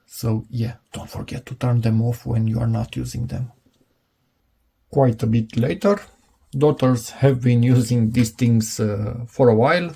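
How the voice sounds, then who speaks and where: A man's voice: mid-range voice, steady tone, a little slow, creaky, warm tone, breathy edge. A middle-aged man giving a casual, unscripted product demonstration, hesitant and rambling as he thinks aloud. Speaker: product reviewer.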